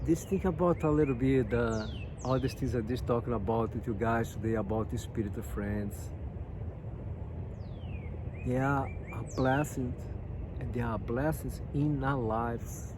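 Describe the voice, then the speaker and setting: A man from Brazil with a Brazilian Portuguese accent, speaking English as a second language, sharing personal stories in an earnest, halting way and often asking "you know what I mean?". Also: mid-range voice, noisy background. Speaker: massage therapist sharing life lessons. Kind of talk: casual personal talk to viewers.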